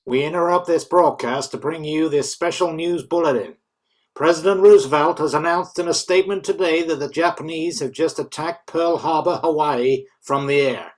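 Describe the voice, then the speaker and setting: A man's voice: on the high side, moderate pace, close to an everyday voice. A man playing an urgent, solemn 1940s radio news announcer at a script reading. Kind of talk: script table read.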